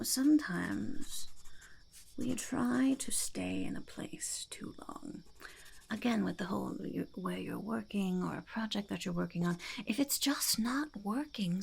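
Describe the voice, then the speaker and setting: A woman voicing a blunt, earnest female goblin shopkeeper in an ASMR roleplay, whispering and speaking softly with a gravelly creak and musing to herself. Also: mid-range voice, animated, unhurried, heavily acted. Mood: earnest, reassuring. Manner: musing, hesitant, repeating phrases to herself.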